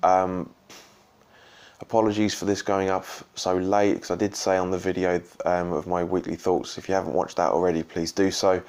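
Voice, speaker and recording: A man with a slightly croaky voice giving a rambling, casual post-match reaction as a football fan vlogger. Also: deep voice, flat delivery, normal speed, a little mellow. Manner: rambling, full of ums and restarts. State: slightly croaky from shouting and drinks.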